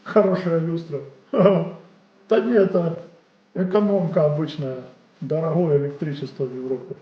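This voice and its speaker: A man's voice: a little high, fairly even intonation, normal speed, roomy sound, muffled recording. A man in his forties with a Ukrainian accent, a boxing coach chatting casually with viewers on a home live stream. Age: 40s. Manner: casual, friendly, answers chat questions off the cuff. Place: room at home.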